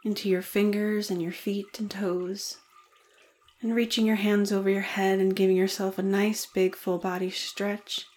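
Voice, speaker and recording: A woman leading a guided meditation in a soothing, deliberate manner with gentle pauses. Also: medium pitch, level tone.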